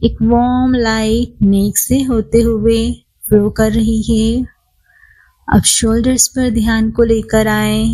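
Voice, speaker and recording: Woman, higher-pitched, very slow delivery, calm, soothing, meditation instructor, long pauses. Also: poor audio. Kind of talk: guided body scan meditation.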